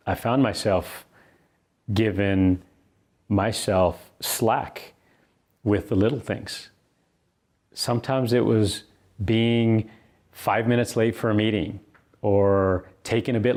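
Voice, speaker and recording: A middle-aged man reading his own book, telling a personal story in an earnest, conversational way with thoughtful pauses. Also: fairly low pitch, darkish tone.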